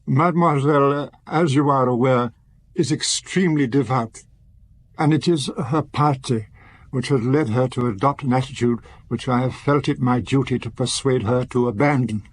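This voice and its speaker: Guy playing a solemn priest in a radio play, husky medium voice, very polite and formal, careful wording. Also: medium pitch, lightly acted, low-quality recording. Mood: grave, courteous. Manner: formal, deliberate, courteous. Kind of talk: radio drama acting.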